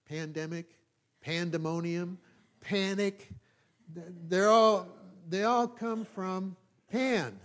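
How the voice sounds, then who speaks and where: A man with a Californian American accent giving an informal, conversational sermon as a pastor, folksy and self-deprecating, often halting and checking in with his listeners. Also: high voice. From California, United States.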